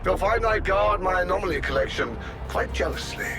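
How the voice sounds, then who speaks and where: An actor playing a haughty, possessive male collector villain who speaks in a measured, pompous way, in a video game cutscene. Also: high-pitched, animated. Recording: game cutscene with loud music.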